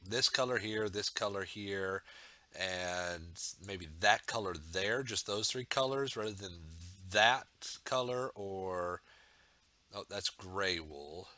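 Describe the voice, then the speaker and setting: A man with a fairly low, bright and forceful voice talks through his build as he works, rambling and thinking aloud with plenty of fillers. He is getting over a sore throat and sniffles now and then. The sound is close and dry, with no room behind him. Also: slow pace, no room sound. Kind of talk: casual build commentary.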